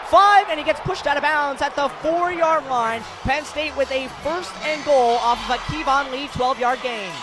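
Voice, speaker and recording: A young American man with a very high-pitched voice calls live football play-by-play. His delivery is clipped and excitable, projecting loudly as plays develop. Clear stadium noise runs behind him. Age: young adult.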